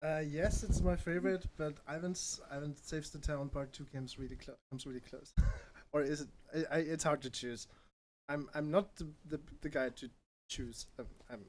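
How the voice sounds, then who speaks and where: A man who composes music, talking casually on a livestream and haltingly searching for his words. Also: on the high side.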